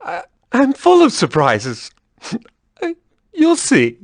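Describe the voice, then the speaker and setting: An actor playing a cheeky, wisecracking young male adventurer in a video game, flippant and expressive. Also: very high-pitched, drawn-out words, no echo, clean studio sound, exaggerated voice.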